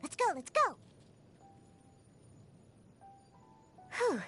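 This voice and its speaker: A woman's voice: extremely high pitch, huge pitch swings, a little fast, sharp tone, soft background music, heavily acted. A woman voicing a feisty, proud young cat-eared girl bartender in a video game, pouty and bratty with cutesy delivery. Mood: excited battle cries. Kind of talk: video game character voice lines.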